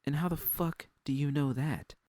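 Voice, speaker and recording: A man's voice: on the high side, steady tone, moderate pace, mellow, no echo, clean studio sound, voice pushed up, lightly acted. A man voicing a hot-headed, indignant young woman tech editor in an audiobook story, confrontational and foul-mouthed. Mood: outraged. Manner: confrontational, profane.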